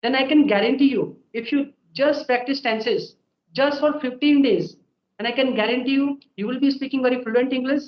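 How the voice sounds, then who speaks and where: A man's voice: very high-pitched, moderate pace, reverberant, highs cut off. A young man with an Indian accent, native Hindi speaker, explaining step by step in casual talk and tagging his sentences with "okay" and "right". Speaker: guest English learner sharing tips. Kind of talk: conversational advice.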